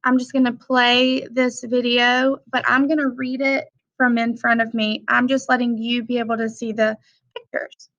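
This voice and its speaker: A young adult woman reading a children's picture book aloud with simple, deliberate phrasing for young listeners. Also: fairly high pitch, flat delivery, a bit bright. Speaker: read-aloud presenter for children. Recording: dull, lo-fi sound.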